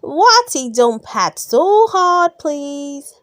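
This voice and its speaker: A woman's voice: high voice, big pitch swings, drawn-out words, a bit bright, no room sound, clean studio sound, full character voice. A woman voicing a hapless, polite male raccoon from a children's storybook, plaintive and fretful. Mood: pained, pleading.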